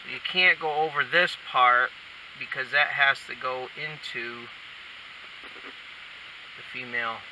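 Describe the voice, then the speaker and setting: A man talks through a hands-on job in a casual, folksy way, muttering short remarks as he goes. His mid-pitched voice sits away from the mic, under loud hiss and vehicle noise. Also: fairly even intonation, measured pace, pauses often, recorded from a distance, low-quality recording. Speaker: installer demonstrating a how-to. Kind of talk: casual hands-on tutorial talk.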